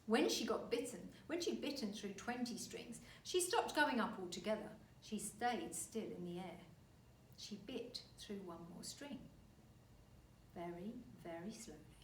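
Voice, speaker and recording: A woman reading a children's story aloud like a teacher, expressive and deliberate. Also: mid-range voice. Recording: roomy, distant mic, faint noise.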